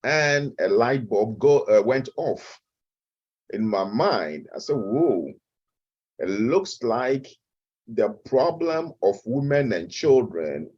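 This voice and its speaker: A middle-aged man with a Ghanaian accent, a guest expert answering interview questions slowly, with frequent hesitant fillers. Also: mid-range voice, big pitch swings, a little mellow, breathy edge, highs cut off. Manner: measured and slow, with many hesitant "uh" fillers. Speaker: guest expert, nutrition professor.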